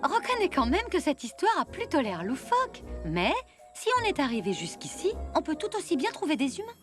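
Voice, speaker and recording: Very high, playful furry cartoon critter. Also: female voice, wildly swooping pitch, brisk, faint music behind. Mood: wry. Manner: giggly, wry, coy. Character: playful, teasing furry female animal companion. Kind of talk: animated film dub.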